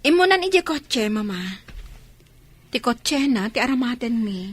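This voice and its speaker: An actress playing a polite, friendly wife in a radio comedy drama, speaking agreeably. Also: female voice, a little high, sing-song, unhurried, breathy edge, no echo, full character voice.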